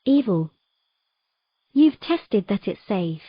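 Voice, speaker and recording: A woman's voice: medium pitch, sing-song, slow, husky, no room sound, highs cut off, heavily acted. A woman playing a determined, defiant young mermaid with an insistent, urgent delivery in a dramatic audio story. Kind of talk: dramatic audio fiction acting.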